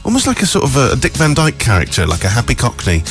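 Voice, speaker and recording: A man's voice: medium pitch, wildly swooping pitch, fast, fairly bright tone. A man hosting a breakfast radio show, joking and rambling in casual chat. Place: radio studio. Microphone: studio mic with headphones. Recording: studio with clear background music.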